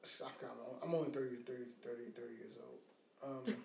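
A man's voice: mid-range voice, a little fast, gravelly, a little mellow, a little hiss or hum. A man in his thirties chatting casually as a podcast co-host, slangy and sweary with a teasing streak. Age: 30s. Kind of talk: casual chat about parenting. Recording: roomy, echoing sound.

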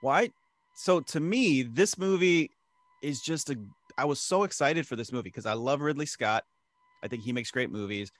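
A man's voice: mid-range voice, normal speed, dry recording. A man co-hosting a movie podcast, chatting casually and jokingly, often slipping into silly put-on accents. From American Midwest. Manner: jokey, puts on silly accents. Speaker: podcast co-host. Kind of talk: casual chat.

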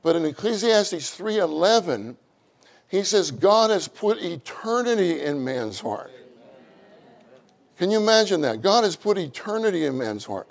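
A middle-aged man with a loud voice, preaching a sermon with animated, acted-out quotes. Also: unhurried, vocal fry. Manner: animated, acts out quotes with rising pitch.

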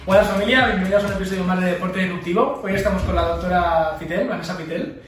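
A man's voice: high voice, fast, fairly bright tone, soft background music. Man hosting a podcast, echoey room. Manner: brief backchannels, reads guest introduction. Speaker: podcast host. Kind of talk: podcast interview. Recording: echoey room, distant mic.

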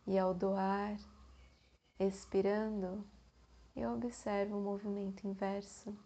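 A woman with a Brazilian accent guides a meditation extremely slowly. Her voice is mellow and slightly husky, and she leaves long, soothing pauses between short phrases. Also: medium pitch, level tone. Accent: Brazilian Portuguese.

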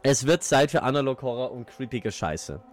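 A man's voice: mid-range voice, animated, normal speed. A man hosting a podcast in a casual, playful, jokey way, with swearing and asides. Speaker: podcast host. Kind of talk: casual chat with playful intro reading. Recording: loud music behind the voice. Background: eerie ambient music.

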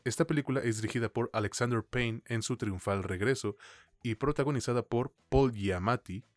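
A man's voice: mid-range voice, normal speed, no echo. A man with a Mexican accent hosting a film podcast in casual, slangy conversation. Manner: informal, slangy. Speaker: podcast host.

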